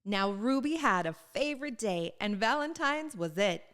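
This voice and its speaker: High, expressive woman reading a storybook. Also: normal speed, faint music behind. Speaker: children's book reader. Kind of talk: read-aloud storytelling for children.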